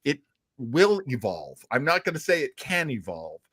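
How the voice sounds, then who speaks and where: A man co-hosting a casual livestream chat, talking in an excitable, exclamatory way. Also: medium pitch, slightly rough, no room sound, studio quality. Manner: excitable, exclamatory, quick to laugh. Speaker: show co-host.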